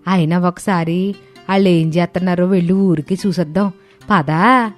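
A woman's voice: fairly low pitch, fairly even intonation, moderate pace, soft background music, close to an everyday voice. A woman doing a nosy gossiping village neighbor. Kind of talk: cartoon story dubbing.

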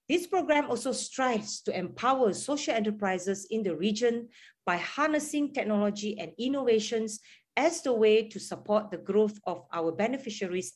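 Middle-aged woman reading a formal speech, measured pace, little restarts, webcam call from home. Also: medium pitch. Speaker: foundation head giving a speech. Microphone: video call webcam.

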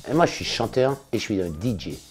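A man in his fifties, a singer and DJ, answering interview questions in a relaxed, casual way, full of slangy shout-outs. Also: on the low side, fast, faint music behind. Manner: relaxed, laid-back, with slangy big-ups. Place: studio in front of blue backdrop.

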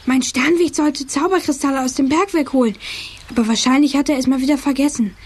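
A woman's voice: high voice, fairly quick, darkish tone, close to an everyday voice. A woman playing a strict, grumbling young boy in a children's audio drama, emphatic and indignant in manner.

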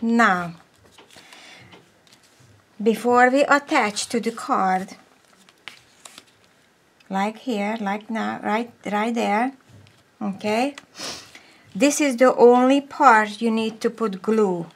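Slow-talking woman explaining a craft. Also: on the high side. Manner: slow, step-by-step, drops to a whisper while working. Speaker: papercraft tutorial host. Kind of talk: casual crafting tutorial. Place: craft table indoors, overhead camera.

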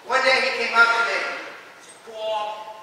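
An older man preaching a church sermon as pastor, with an emphatic, theatrical delivery and dramatic pauses. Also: very high-pitched, little pitch movement, bright, forceful tone. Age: older, 60s or above. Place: large stone church, at a pulpit. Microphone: gooseneck pulpit microphone. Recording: echoey church hall, distant mic, faint noise.

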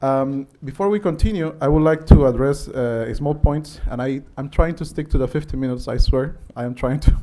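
A man in his thirties from Guatemala, a native Spanish speaker with a Guatemalan accent, giving a conference lecture in a conversational, often pausing way into a handheld microphone in an auditorium. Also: mid-range voice, very noisy. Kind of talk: public lecture.

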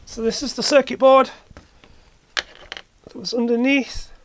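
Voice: high voice, flat delivery, takes it slowly, a little hiss or hum. A hobbyist presenter giving a casual hands-on repair commentary, thinking aloud while working. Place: indoor workbench.

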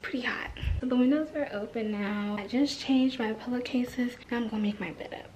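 A woman's voice: on the high side, brisk, darkish tone, muffled recording, slight background noise. A teenage girl talking casually to her viewers in her bedroom and kitchen, chatty and often dropping to a whisper, into a handheld camera close to her face. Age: teens. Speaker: vlogger. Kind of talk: casual day-in-the-life vlog. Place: bedroom and kitchen at home, indoors.

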